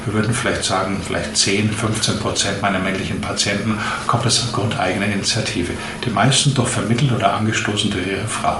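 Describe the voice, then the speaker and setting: A man in his late fifties, a doctor, giving long, measured explanations in an interview. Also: lowish voice, steady tone, reverberant, background noise. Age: 50s. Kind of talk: interview answers.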